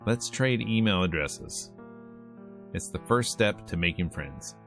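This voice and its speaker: A man streaming a visual novel, reading the narration aloud in a conversational, expressive way. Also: sits low, measured pace, faint music behind. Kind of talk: game let's-play, reading narration aloud.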